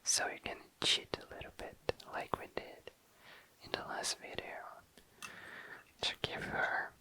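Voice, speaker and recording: A woman softly whispering in an unhurried way, narrating a craft session for an ASMR video in breathy, unvoiced speech. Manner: soft, unhurried, repeats phrases.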